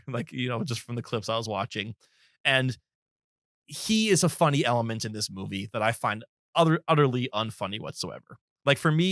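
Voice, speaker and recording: A man's voice: medium pitch, a bit bright, no room sound, studio quality. A man co-hosting a film podcast, giving his opinions in a casual, conversational way.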